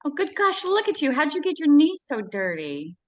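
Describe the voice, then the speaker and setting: A brisk housewife mother, played by a woman in a vintage radio play. Her voice moves in big, animated swings, and she has a matter-of-fact, brush-it-off manner. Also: high-pitched. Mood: busy, dismissive. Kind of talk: old-time radio suspense acting. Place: live stage radio-play performance.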